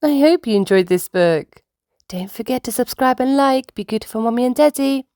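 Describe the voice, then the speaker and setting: A woman's voice: on the high side, animated, normal speed, dark tone, dry recording, clean studio sound. A woman reading a children's storybook aloud as a narrator. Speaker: storybook reader and channel host.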